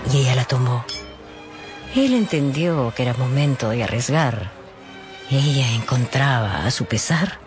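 A woman narrating a dramatized radio audiobook expressively, with conversational asides. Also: very deep voice.

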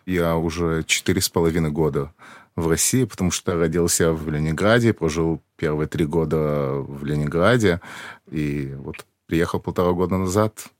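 A man in his thirties with a very low voice, talking as a podcast guest. He explains things plainly and simply, as if for a child, and the dry studio sound keeps him close to the mic. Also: no echo. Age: 30s. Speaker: embassy press secretary, guest. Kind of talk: casual interview.

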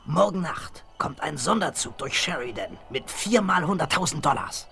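An actor playing a scheming, boastful male spy in a 1970s western radio drama, excitable and conspiratorial. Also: fairly high pitch, normal speed, vocal fry, crowd noise. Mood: gloating. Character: scheming boastful tramp spy posing as a clerk. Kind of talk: 1970s German audio drama acting.

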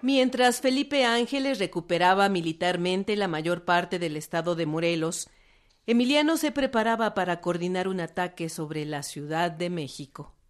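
Woman narrator, medium-low voice, formal, measured, scripted historical radio drama, clear music behind. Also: expressive.

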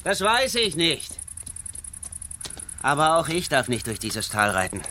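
Bright-voiced, guarded western rider. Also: male voice, on the high side, very animated, measured pace, a little hiss or hum, exaggerated voice. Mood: guarded, wary. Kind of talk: western radio drama acting.

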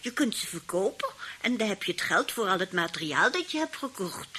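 An older woman acting in a radio play as a sly old aunt who sounds gentle, innocent and a little husky. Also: medium pitch, big pitch swings, a little fast, full character voice. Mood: kindly. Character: sly old aunt playing sweetly naive and grateful.

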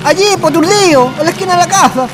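An actor playing a bossy, gruff village man in a radio drama, speaking colloquially and commandingly with a Chilean accent. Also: extremely high pitch, fast talker. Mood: wary. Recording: long reverb, music behind the voice.

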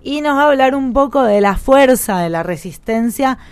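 A woman presenting a live radio broadcast, introducing recorded clips in a relaxed way with frequent fillers. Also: high voice, moderate pace, smooth.

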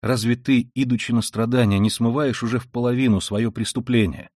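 A man's voice: lowish voice, moderate pace, a little mellow, slightly rough, dry recording, studio quality. A man reading an audiobook voices a frightened, loving young woman who pleads with anxious exclamations, barely shifting his own voice. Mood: anxious, tender.

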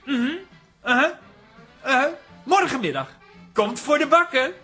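Boastful amateur photographer, radio comedy, lively swooping delivery, high-pitched, stammering. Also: male voice, a little slow, breathy edge, soft background music, full character voice. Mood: cheerful, boastful. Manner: animated, self-important, stammering repeats. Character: excitable, boastful amateur male wedding photographer. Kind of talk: Dutch radio comedy drama acting.

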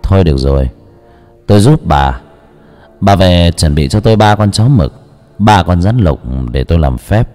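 A man's voice: low voice, expressive, normal speed, mellow, long reverb tail, soft background music. A man voicing a stern, proud village exorcist who speaks curtly. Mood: calm. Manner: curt, stern.

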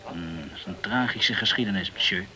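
Courteous, talkative journalist. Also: male voice, sits low, brisk, bright, forceful tone. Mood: grave, informative. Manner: courteous and chatty, peppered with French words. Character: polite, talkative French journalist in the tropical West Indies. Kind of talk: Dutch radio play acting.

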